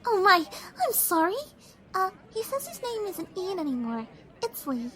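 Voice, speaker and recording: A woman voicing a shy, gentle young pony who loves animals, hesitant and apologetic, in an audio drama. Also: very high voice, big pitch swings, moderate pace, heavily acted. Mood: gentle, doting. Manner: soft-hearted, hesitant, apologetic.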